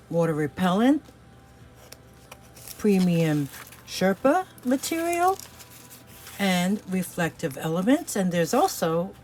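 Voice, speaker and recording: A woman from New York City with a New York accent, chatting casually through a resale video with lots of fillers. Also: on the low side. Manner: chatty, hesitant, many fillers. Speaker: resale seller. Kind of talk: casual product showing vlog.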